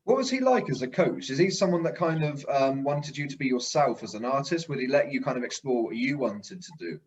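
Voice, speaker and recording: A man's voice: mid-range voice, animated, fairly quick, a little mellow, highs cut off, slight background noise. A man hosting a casual interview, asking long, layered questions. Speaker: interviewer, show host.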